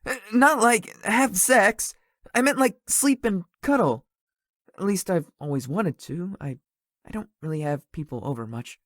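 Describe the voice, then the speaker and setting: Shy young man, acted by a woman, animated pitch. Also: unhurried, dry recording, studio quality. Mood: flustered, embarrassed. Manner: hesitant, stammering when embarrassed. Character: shy, flustered, sweet and sleepy young man. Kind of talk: visual novel character acting.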